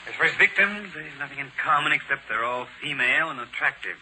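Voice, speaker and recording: An actor playing a calm, analytical gentleman detective in a 1940s radio drama, on an old hissy recording. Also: a little high, fast, poor audio. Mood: thoughtful, measured.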